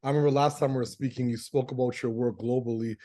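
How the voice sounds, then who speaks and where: A gracious male host opens with ceremonial thanks before putting his questions to the guest. His voice is mellow and dark, sitting at an ordinary pitch. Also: steady tone, quick pace. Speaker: interview host. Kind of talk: formal interview hosting.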